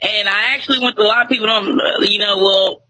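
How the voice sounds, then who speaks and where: A woman's voice: mid-range voice, fairly even intonation, quick pace, bright, forceful tone, a little hiss or hum, rough recording. A young woman, talking in a laid-back, slangy way as a radio host being interviewed. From Tyler, Texas. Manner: laid-back slangy talk, many fillers. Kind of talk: casual interview chat.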